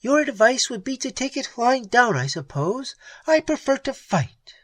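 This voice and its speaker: A woman's voice: mid-range voice, very animated, unhurried, dry recording. A reader voicing a fierce, vengeful young woman pirate captain who speaks in a sarcastic, blazing manner, in an audiobook. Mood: defiant. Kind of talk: audiobook dialogue.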